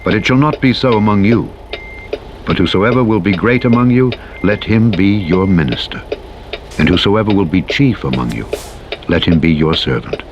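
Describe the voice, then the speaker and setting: A man narrating the Bible in a solemn, measured scripture-reading style with archaic phrasing. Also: low-pitched, expressive, muffled recording. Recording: clear engine and vehicle noise behind. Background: truck engine noise from a driving game.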